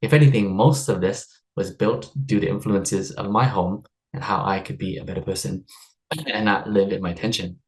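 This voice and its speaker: A man with a deep, low-pitched voice coaches a group through a live online workshop. He talks in a chatty, upbeat way, with plenty of ums and easy laughs. The sound comes through a video-call mic. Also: fairly even intonation, moderate pace, a little mellow, rough recording. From United States (Seattle area). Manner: upbeat, chatty, laughs often, many fillers. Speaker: stress and wellbeing coach leading a workshop.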